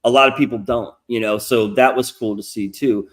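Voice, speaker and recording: A middle-aged man with a nasal voice hosting a casual livestream interview, rambling and swearing freely as he gets animated. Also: mid-range voice, fairly quick. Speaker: podcast host.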